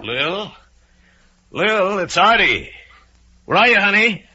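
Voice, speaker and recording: A man's voice: high voice, sing-song, slow, voice pushed up, heavily acted. A man acting out a scheming radio-thriller husband. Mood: eager, searching. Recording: muffled vintage radio recording with music.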